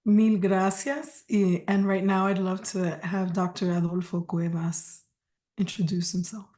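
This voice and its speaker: A woman's voice: on the low side, little pitch movement, unhurried. A woman moderating an online panel webinar, speaking in a heartfelt way and slipping in Spanish greetings. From United States. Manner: warm, heartfelt, mixes in Spanish phrases. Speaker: moderator and sociology professor.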